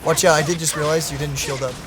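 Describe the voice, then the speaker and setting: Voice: medium pitch, fairly even intonation, fast, loud music behind the voice, low-quality recording. A gaming host giving casual, playful commentary with frequent exclamations. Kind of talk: casual let's-play commentary.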